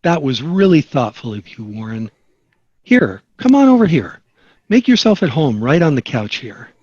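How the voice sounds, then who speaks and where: A dull, lo-fi close recording of a man playing a sitcom dad. His husky voice swings widely as he coaxes and instructs, practical and friendly. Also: a little high, moderate pace, no echo. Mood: friendly, reassuring. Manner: animated, coaxing.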